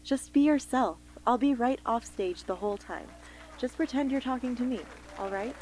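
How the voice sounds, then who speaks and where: A woman playing a gentle, encouraging stylist in a radio drama, speaking softly and reassuringly. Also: fairly high pitch, moderate pace, natural voice. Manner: soft, reassuring.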